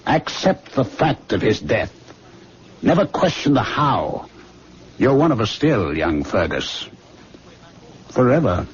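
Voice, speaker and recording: An actor playing a ruthless, scheming young medical student in an old-time radio drama, urgent, insistent and smoothly menacing. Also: male voice, mid-range voice, sing-song, takes it slowly, pauses often. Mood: grimly menacing. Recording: muffled radio drama recording, faint noise.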